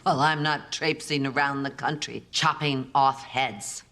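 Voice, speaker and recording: A woman's voice: a bass voice, fairly even intonation, unhurried, fairly bright tone, full character voice. A middle-aged woman playing an abrasive, sarcastic, domineering film actress in a period TV drama, hard-voiced, blunt and commanding. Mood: indignant. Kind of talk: television period drama acting.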